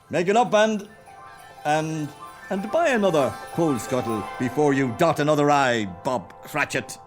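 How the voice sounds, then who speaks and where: An actor with an Irish accent playing a reformed old miser, giddy with joy, exuberant and laughing in a radio play. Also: male voice, fairly high pitch. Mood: jolly. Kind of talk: amateur radio play acting.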